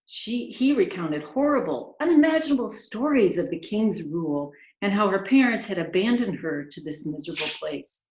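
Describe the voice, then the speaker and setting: A woman reading a picture book aloud with expressive, dramatic storyteller phrasing, drawing out key words. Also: mid-range voice, normal speed, few pauses, reverberant, rough recording. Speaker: children's author reading aloud.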